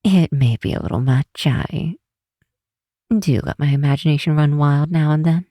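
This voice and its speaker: A woman playing an affectionate, teasing vampire scientist in an intimate ASMR roleplay, speaking softly and unhurriedly to a close friend. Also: sits low, no room sound. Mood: playful, fond. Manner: soft, unhurried, teasing, sometimes breathy near-whisper. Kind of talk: intimate ASMR roleplay, one side of a call.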